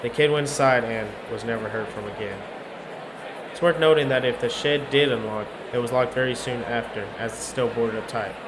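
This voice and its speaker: A man's voice: mid-range voice, a little fast. A man reading a visual novel's first-person narration aloud in a dry, wry storytelling way. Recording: noisy urban ambience behind.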